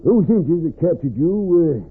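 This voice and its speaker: A man's voice: a little high, lively intonation, normal speed, close to an everyday voice. An actor playing an easygoing, plain-spoken frontiersman with an American Old West drawl in a 1940s radio western. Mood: amused, explaining. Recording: noisy, narrow-band 1940s radio recording.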